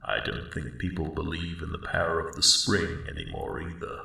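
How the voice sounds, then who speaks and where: A man voicing a friendly, elderly male dragon in an ASMR roleplay, rambling slowly and wryly in a deep, gravelly rumble. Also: exaggerated voice. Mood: mellow, friendly. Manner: slow, rambling, reflective, gently wry. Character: friendly ancient male dragon, mellowed guardian of a spring. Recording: roomy cave-like echo, distant sound.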